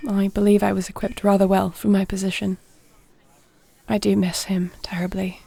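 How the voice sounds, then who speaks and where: A woman playing a gentle, dignified warrior princess in an intimate fantasy roleplay, speaking softly with formal, measured, tender diction. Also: medium pitch, vocal fry, dry recording. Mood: quietly grieving.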